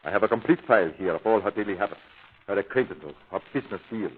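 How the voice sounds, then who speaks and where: An actor playing a domineering criminal hypnotist, menacing and condescending, in 1930s radio villain style. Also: male voice, on the low side, animated, fast talker, slightly rough. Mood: controlled menace. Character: smooth-talking, domineering criminal hypnotist, megalomaniac man. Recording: tinny, band-limited 1930s radio recording.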